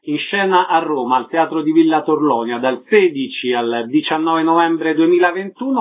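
Male interviewer for radio, slightly high pitch, brief presentational delivery, tinny phone-like recording. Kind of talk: radio interview, announcing show details. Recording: narrow, phone-like radio sound.

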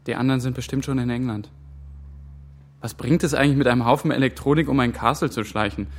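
An actor playing a nervous young intern on the run, tense and doubting. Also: male voice, medium pitch, fairly even intonation, fast, natural voice. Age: young adult.

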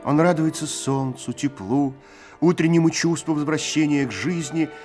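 An actor narrating a Soviet radio play in a lyrical, literary way, with measured, expressive phrasing. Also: male voice, on the high side, faint music behind, lightly acted. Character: male storyteller, lyrical and unhurried.

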